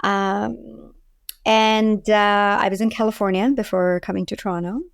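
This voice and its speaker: A woman in her forties with an Indian accent speaks in casual interview style. Her voice sits at a medium pitch, and the recording is dry, with very little room sound. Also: little pitch movement, a little slow, gravelly, clear, resonant tone, no echo. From Delhi, India. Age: 40s. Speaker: podcast guest, food entrepreneur.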